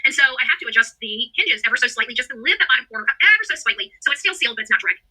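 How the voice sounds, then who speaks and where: A woman livestreamer telling a personal story in a casual, rambling, animated and exasperated way with salty language. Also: fairly high pitch, very fast, breathy edge, poor audio.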